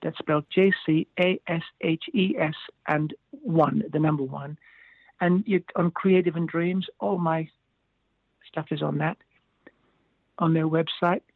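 A man's voice: fairly high pitch, measured pace, vocal fry, dry recording. Man on a tinny phone line. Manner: relaxed, rambling, chuckles often. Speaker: musician guest. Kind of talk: casual interview chat.

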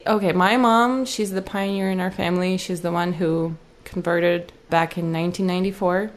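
A woman from Ukraine in her twenties telling a personal story, halting and searching for words. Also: fairly low pitch, fairly even intonation. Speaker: guest sharing a story.

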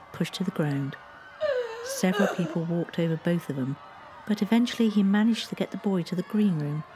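A woman's voice: on the low side, dark tone, slight background noise. A woman reading scripted historical narration as a storyteller.

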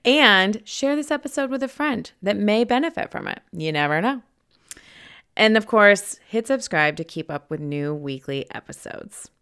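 A woman's voice: mid-range voice, animated, normal speed, fairly bright tone, clear, resonant tone. A woman hosting a podcast interview, gushing and upbeat, then reading her sign-off. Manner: gushing, upbeat, says "so good" often. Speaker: podcast host.

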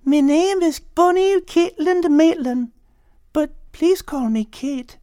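A woman's voice: high-pitched, slow pace, mellow, no room sound, clean studio sound. A woman playing a spirited young female dog with a folksy manner in an animal story. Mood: sweet.

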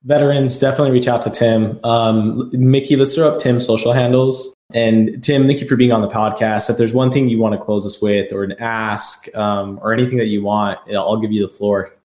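Man, podcast host, low voice, upbeat casual chat, echoey room. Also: flat delivery, a little fast, hardly any pauses, a little mellow. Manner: upbeat, says "like" often.

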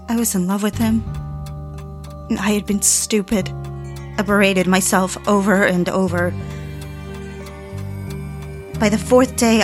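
A woman's voice: medium pitch, lightly acted. A woman playing a lovelorn, self-doubting woman in an audio drama, earnest and confessional in her delivery. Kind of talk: dramatic first-person narration in audio drama. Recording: music under the voice. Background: music.

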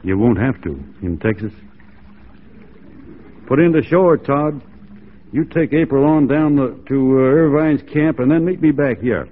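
Casting: steadfast cowboy from Texas, folksy and plain, middle-pitched, tinny vintage radio recording. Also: male voice, expressive, a little slow, pauses often. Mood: tender, then folksy, easygoing. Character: easygoing, plain-spoken, steadfast Texan cowboy. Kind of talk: 1940s radio drama acting. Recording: thin band-limited 1940s radio recording.

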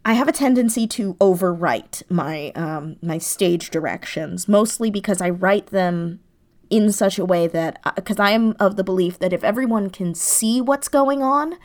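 A woman's voice: lowish voice, darkish tone. A woman speaking as a guest in a casual interview, effusive and emphatic, often repeating words to stress a point. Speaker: interview guest, audio drama writer. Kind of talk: enthusiastic casual interview chat.

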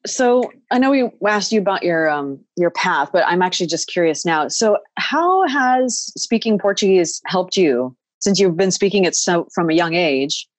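A woman hosting a podcast interview in a friendly, chatty way, laughing easily. Her voice is on the lower side for a woman. The sound is dry and close. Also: animated, normal speed, hardly any pauses, no room sound. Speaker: podcast host.

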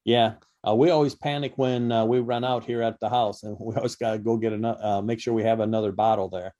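Mellow-voiced man hosting radio. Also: lowish voice, fairly even intonation, quick pace. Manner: rambling, many fillers and restarts. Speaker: radio show co-host. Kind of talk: casual radio health talk. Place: radio studio.

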